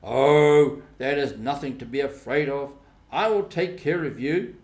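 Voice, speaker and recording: A man's voice: mid-range voice, measured pace, darkish tone, lightly acted. A man voicing a bold, mischievous young boy in a storybook, speaking emphatically and with assertive confidence. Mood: reassuring.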